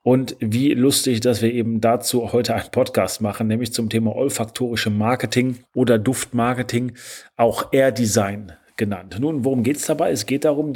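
A man's voice: medium pitch, darkish tone, slightly rough. A male podcast host with a stuffy nose from a cold, explaining a topic in a chatty solo talk with frequent filler sounds.

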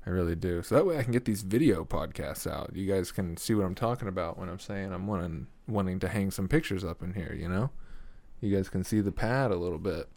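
A young man with a deep voice hosting a casual solo podcast, laid-back and swearing often, chuckling at his own stories. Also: animated, fairly quick, gravelly, a little mellow. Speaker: podcast host. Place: small home room with foam on the walls. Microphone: studio mic at a desk.

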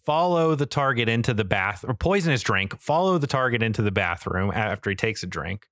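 A man's voice: on the low side, expressive, brisk, fairly bright tone, dry recording, studio quality. A man co-hosting a podcast in casual, enthusiastic chat, swearing freely and often checking in with "right?". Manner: enthusiastic, profane, peppered with "like" and "right?". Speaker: podcast co-host. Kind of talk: casual podcast chat about games and films.

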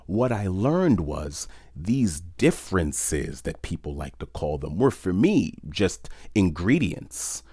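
Man from New York, French his first language, very low voice, dry close sound, reflective storyteller. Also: very animated, warm tone, breathy edge, no echo. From New York City. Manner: reflective, measured, conversational with fillers. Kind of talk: personal storytelling talk.

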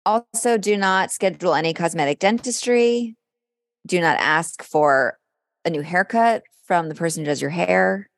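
A woman's voice: on the low side, moderate pace, creaky, dry recording, studio quality. A woman co-hosting a podcast in casual chat, reading horoscopes aloud in a conversational way with some dramatic delivery. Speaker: podcast co-host.

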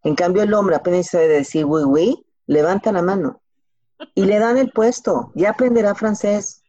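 A woman's voice: medium pitch, normal speed, mellow. A woman with a Mexican accent answering casually as an interview guest, colloquially, with examples and rhetorical questions, on a slightly lo-fi recording. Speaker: podcast guest giving career advice. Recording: slightly dull, lo-fi sound.

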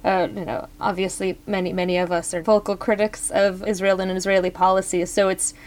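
A woman's voice: mid-range voice, level tone, fairly quick. A woman from Ukraine talks as a guest on a podcast panel with a mid-range voice. Her speech is chatty and loose, full of fillers. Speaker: professor, panelist. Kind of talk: casual panel discussion.

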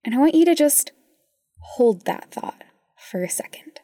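A woman with a mellow, dark-toned voice talks straight to the listener. She is soft and informal, guiding a reflection, and the sound is very dry and close. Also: sits high, expressive, unhurried, no echo. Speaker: podcast host. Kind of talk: intimate guided reflection to listeners.

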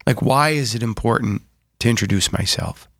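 A man's voice: mid-range voice, normal speed, fairly bright tone, no echo. Thoughtful middle-aged man, dry close sound. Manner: thoughtful, restarts often, says "like" a lot. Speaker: brother in a family discussion. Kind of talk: casual reflective conversation.

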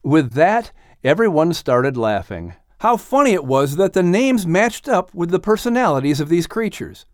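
Animated male children's audiobook narrator. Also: fairly high pitch, moderate pace, no room sound, studio quality. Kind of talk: expressive scripted children's story narration.

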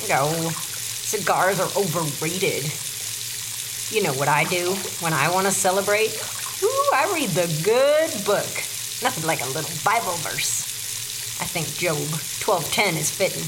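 Actress as playful pious lady, slow drawl, low-ish voice, sizzling kitchen noise behind. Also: very animated. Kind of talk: comedy audio drama acting.

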